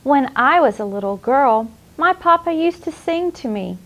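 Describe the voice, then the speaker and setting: A woman playing a sweet, nurturing clown who hosts a children's show, with a gentle, encouraging manner. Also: high voice, lively intonation, moderate pace. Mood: gentle, caring. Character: sweet, nurturing young female clown hostess.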